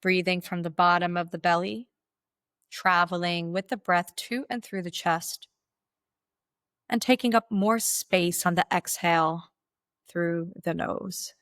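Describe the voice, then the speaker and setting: Woman, meditation guide, lowish pitch, slow deliberate instructions, spaced pauses, dry sound. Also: fairly even intonation, a bit bright, dry recording.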